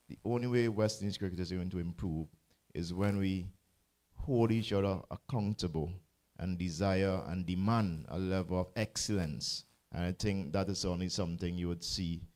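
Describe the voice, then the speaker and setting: A man's voice: sits low, darkish tone. A man serving as a cricket board president, giving earnest formal closing remarks and repeating words as he searches for them.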